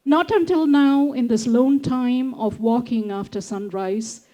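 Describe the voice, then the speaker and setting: Indian woman poet, kinda high warm voice, reads slow then chats with lots of ums. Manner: measured recitation, then hesitant chat with many fillers. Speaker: poet on a festival panel. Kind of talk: poetry reading then panel talk.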